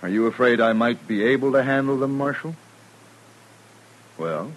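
An actor playing a proud, overconfident newcomer to the frontier, formal and self-assured, in a 1950s radio western. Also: male voice, medium pitch, normal speed, a little hiss or hum, lightly acted, low-quality recording. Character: proud, overconfident gentleman newcomer eager for action.

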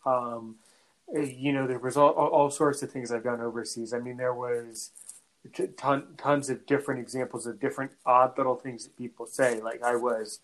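Halting North American male podcast host. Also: mid-range voice, fairly even intonation, fairly bright tone, poor audio. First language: English; French is his second language. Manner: halting, often repeats words before finishing a thought. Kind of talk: conversational interview.

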